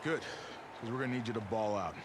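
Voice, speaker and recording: An actor playing a firm male high school football coach giving brisk orders, heard through game audio. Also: natural voice. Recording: game audio with loud background noise.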